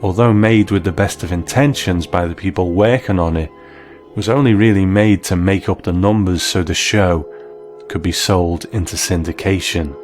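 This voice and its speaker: A man hosting a documentary-style programme, narrating scripted material in a measured, explanatory way. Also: low voice, darkish tone, faint music behind. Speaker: host, interviewer.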